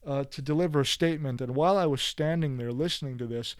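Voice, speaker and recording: A man's voice: mid-range voice, quick pace, no echo, studio quality. A man giving opinionated solo video commentary, speaking bluntly and emphatically with frequent asides and restarts. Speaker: political commentator.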